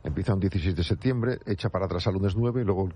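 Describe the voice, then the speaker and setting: Man, accent from Spain, rapid speech, raspy voice, informal chatty interviewer. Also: low-pitched, mellow. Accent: Spanish from Spain. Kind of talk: casual conversational interview.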